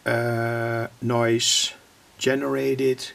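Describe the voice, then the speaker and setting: A man giving an unscripted hands-on demonstration as a hobbyist, speaking haltingly in short phrases. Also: medium pitch, level tone, vocal fry, a bit bright. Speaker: hobbyist radio builder presenting. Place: indoor home workbench. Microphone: handheld camera's built-in microphone. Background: shortwave radio playing.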